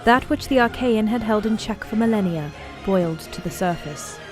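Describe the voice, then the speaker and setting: A woman's voice: mid-range voice, expressive, moderate pace, close to an everyday voice. A woman narrating an epic fantasy audio drama as a grave storyteller, in scripted dramatic style. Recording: loud music bed under the voice.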